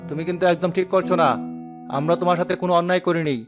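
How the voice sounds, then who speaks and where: Man acting fast-talking callous young husband. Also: sits high, little pitch movement, lightly acted. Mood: dismissive. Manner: curt, stern. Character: callous young husband, obedient to his mother. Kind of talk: cartoon voice acting. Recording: music behind the voice.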